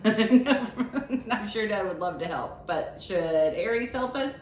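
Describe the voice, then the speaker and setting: An animated woman speaks in an echoey room with noticeable background noise. She tells stories and explains simple steps casually, as a host would to a small child, asking plenty of easy questions. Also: mid-range voice, slow. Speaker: library staff host of a children's story and recipe video. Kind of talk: casual storytelling and cooking demo with a child. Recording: roomy echo, clear background noise.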